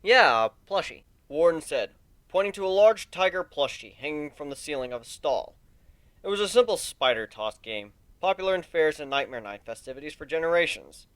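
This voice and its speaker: A man's voice: a little high, expressive, brisk, clean tone, dry recording. A man narrating a story as a dramatic reading. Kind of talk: dramatic reading of fan fiction.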